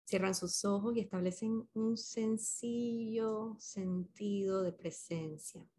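A woman leading a guided meditation as a facilitator, speaking gently and unhurriedly with pauses between short instructions. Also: medium pitch, a little hiss or hum.

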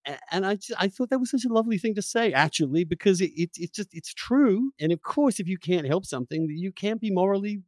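A man interviewing in a reflective long-form conversation, speaking earnestly and emphatically, often halting and repeating words. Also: high voice, lively intonation, a little mellow, no room sound, studio quality.

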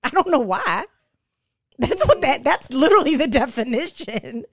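Animated woman of forty chatting playfully. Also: high-pitched, normal speed, no room sound, studio quality. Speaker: podcast co-host. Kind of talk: casual girl-talk chat between close friends.

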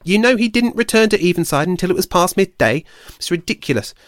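A man voicing an irritable, overworked young female squirrel in a storybook reading, complaining fast and loud. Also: high-pitched, expressive, no room sound, voice pushed up. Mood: exasperated.

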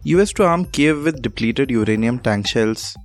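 A man with an Indian accent reading scripted news as a presenter in a formal, measured manner. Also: medium pitch, expressive, soft background music.

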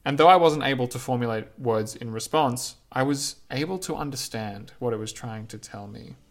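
A man reading his own reflective essay aloud in a measured, lyrical, unhurried way. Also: medium pitch.

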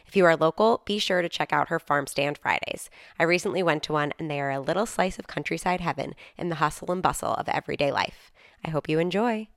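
A woman's voice: low voice, fast talker, dry recording, clean studio sound. A woman hosting a podcast interview, introducing her guest and asking eager questions with some fillers. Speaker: podcast host.

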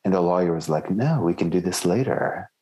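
A man co-hosting a casual book podcast, thinking aloud and laying out his hunches in an animated way. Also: sits low, a little fast. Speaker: podcast co-host. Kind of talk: casual book-club chat.

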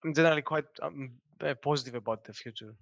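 A man's voice: on the high side, quick pace, vocal fry, fairly bright tone, no room sound. Man, greek native speaker, interview guest, hesitant, fillers, dry recording. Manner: hesitant, many fillers and restarts, laughs often. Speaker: guest, software developer. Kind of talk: casual interview.

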